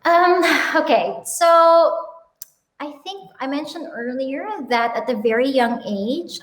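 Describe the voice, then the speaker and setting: A woman in her thirties with a Filipino accent, telling her founder story in an animated, upbeat way with frequent ums and laughs, on a video call. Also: fairly high pitch, echoey room, rough recording. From Philippines. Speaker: guest, company founder. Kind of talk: casual interview chat. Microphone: webinar video call.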